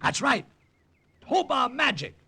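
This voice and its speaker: An actor playing a wisecracking, quick-witted American army officer who tells his story vividly in a 1950s radio drama. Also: very high voice, big pitch swings, creaky, sharp tone, slightly rough, dry recording, full character voice. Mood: coaxing, playful. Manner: vivid storytelling, wry and punchy. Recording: vintage radio drama with musical score.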